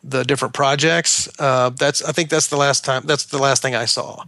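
A man's voice: medium pitch, fairly even intonation, normal speed, creaky, sharp tone, no room sound. A male author guest talking casually about his writing, earnest and prone to stammered restarts. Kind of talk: casual interview chat.

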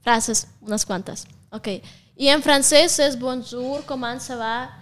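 A woman's voice: high voice, slow, fairly bright tone. A young woman from Russia, a native Russian speaker, chatting frankly as an interview guest with lots of fillers. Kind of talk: casual interview chat.

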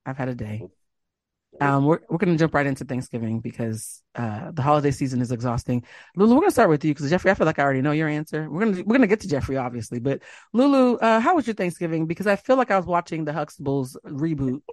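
A woman's voice: low voice, quick pace, no echo, clean studio sound. A woman hosting a podcast in casual chat, telling stories and acting out the people in them. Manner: animated storyteller, acts out quotes. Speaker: podcast host.

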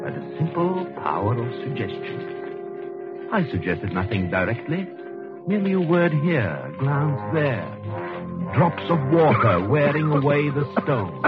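An actor playing a cold, arrogant intellectual murderer in a 1940s radio thriller, speaking in a pompous, measured, condescending way. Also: male voice, mid-range voice, big pitch swings, over loud music. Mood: gloating, musing. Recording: dull 1940s radio recording.

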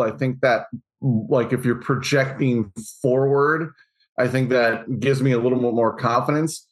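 Male podcast co-host, casual and halting, restarts a lot, laughs through lines, self-mocking. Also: medium pitch. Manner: halting, many restarts, laughs while talking. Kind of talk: casual sports chat.

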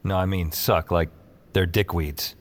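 A man's voice: deep voice, steady tone, measured pace, noisy background. An actor playing a weary, wry young man who narrates and lives his own supernatural adventure in an audio drama. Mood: earnest.

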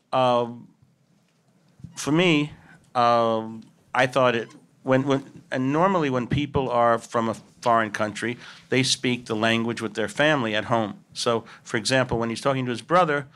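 A man's voice: mid-range voice, measured pace, a bit bright. A man answering audience questions at a film panel, musing aloud and answering his own rhetorical questions. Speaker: film director at a Q&A.

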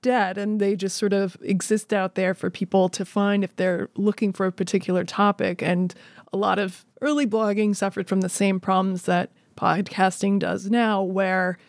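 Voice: medium pitch, level tone, normal speed, no room sound, studio quality. An interviewer putting questions in a conversational style.

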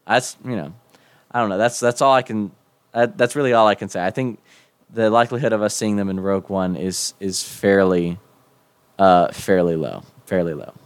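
A young man hosting a live podcast, slightly hoarse, talking casually and upbeat with lots of fillers and restarts. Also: on the low side, fairly even intonation, normal speed, no room sound. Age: young adult. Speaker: podcast host.

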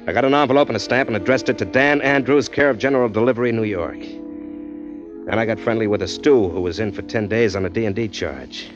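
A man's voice: fairly low pitch, lightly acted. An actor playing a tough-talking ex-con drifter who narrates his own story in a hardboiled style, 1940s radio drama. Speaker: first-person narrator and drifter character. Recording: dull lo-fi old radio recording with music.

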